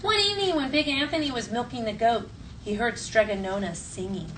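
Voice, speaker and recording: A woman's voice: on the high side, fairly quick, a bit bright. Woman teacher reading aloud, distant mic. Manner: clear instructional phrasing, prompting children. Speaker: elementary school teacher. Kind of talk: classroom read-aloud and questioning. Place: classroom. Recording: distant mic, faint classroom noise.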